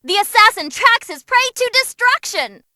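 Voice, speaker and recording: A woman voicing an excitable young female demon who commentates on tournament fights in a bubbly, theatrical way for a game. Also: extremely high pitch, moderate pace, a little hiss or hum, full character voice. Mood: excited, hyped.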